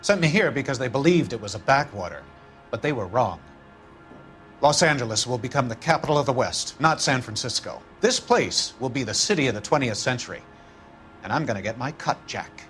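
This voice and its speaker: Condescending company vice president, middle-aged, haughty, wordy, animated pitch, video game drama, faint music. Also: male voice, a little fast. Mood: smug, defiant. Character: smug, corrupt male insurance company vice president.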